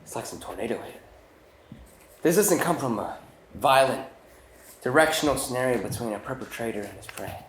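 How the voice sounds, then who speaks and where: Man acting an animated, incredulous young detective. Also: medium pitch, normal speed, pauses often, close to an everyday voice. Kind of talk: live screenplay table read. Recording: echoey room with clear background noise.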